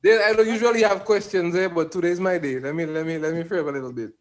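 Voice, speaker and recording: A man with a Caribbean accent chatting casually as a co-host, halting and repeating himself as he frames questions. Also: high voice. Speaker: podcast co-host. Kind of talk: casual chat.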